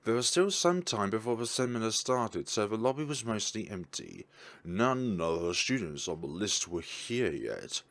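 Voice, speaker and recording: A man's voice: fairly low pitch, very animated, moderate pace, a bit bright. A man reading a visual novel's text aloud, stumbling and correcting himself, with casual asides about the game. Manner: stumbling reads with self-corrections.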